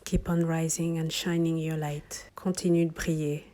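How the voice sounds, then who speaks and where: A woman with a very low voice completes and repeats phrases. Her voice is flat, with little rise and fall, and at times drops to a near whisper. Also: measured pace.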